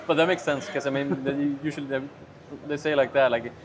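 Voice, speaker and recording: A man's voice: mid-range voice, fairly even intonation, a little fast, noisy background. A man with a Swedish accent hosting a casual conversation, rambling with restarts and laughing often. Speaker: podcast host.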